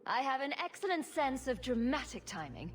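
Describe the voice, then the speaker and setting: A woman's voice: sits high, very animated, moderate pace, soft background music. An actress playing a sarcastic, witty female rogue in a video game, with a wry, flippant delivery. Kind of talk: video game cutscene acting.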